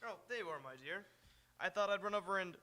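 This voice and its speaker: A man's voice: sits high, fast talker, pushed and bright. A man playing an earnest, proper fiancé in live radio theatre, formal and doting. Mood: eager, affectionate.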